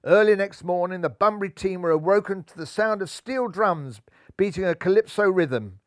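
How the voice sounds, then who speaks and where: An older man in his sixties narrates a children's book with lively, theatrical delivery. His voice sits fairly high for a man. Recorded close on a lapel mic in a living room, with a dry sound. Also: normal speed, dry recording. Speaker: storybook narrator. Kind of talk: expressive children's story reading. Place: living room, seated in armchair.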